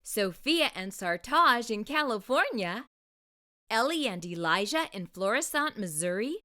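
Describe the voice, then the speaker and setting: A woman's voice: on the high side, very animated, unhurried, sharp tone. A woman hosting a children's read-aloud, narrating a storybook expressively with careful delivery.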